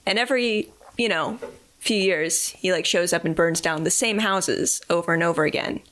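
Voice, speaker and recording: Low-voiced woman explaining by analogy. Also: moderate pace. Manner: explains with analogies, relaxed and thoughtful. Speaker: guest. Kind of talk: conversational interview.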